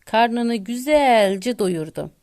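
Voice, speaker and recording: A woman narrating a children's bedtime tale as a storyteller, delivering it deliberately in short phrases with pauses. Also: a little high, animated, darkish tone.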